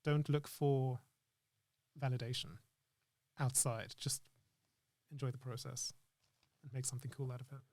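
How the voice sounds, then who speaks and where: A man's voice: mid-range voice, steady tone, normal speed, long pauses, darkish tone. A man speaking as an interview guest in casual, reflective chat, earnestly thinking aloud in broken phrases. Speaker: interview guest, actor and screenwriter.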